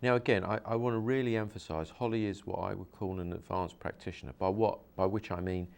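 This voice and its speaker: Man, very deep voice, hypnosis practitioner, interviewer, earnest, repetitive explaining. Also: fairly even intonation, a little fast, vocal fry, a little mellow.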